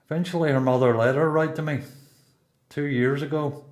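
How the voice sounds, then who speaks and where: A man's voice: on the high side, steady tone, moderate pace, a little mellow, natural voice. A man with a Northern Irish accent playing a reflective, plain-spoken, regretful long-term prisoner in a radio play. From Belfast, Northern Ireland. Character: reflective seasoned male prisoner, regretful absent father. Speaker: amateur performer in a radio play. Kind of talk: radio play dialogue and monologue. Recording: echoey room.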